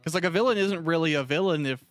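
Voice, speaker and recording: A man's voice: a little high, quick pace, no room sound, soft background music. A man hosting a casual, conversational interview as the interviewer. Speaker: interviewer, director.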